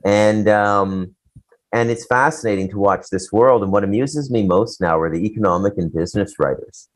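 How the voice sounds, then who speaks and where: A man's voice: on the low side, normal speed. A man co-hosting a casual talk show, giving opinions in a rambling, blunt, profane way. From Canada.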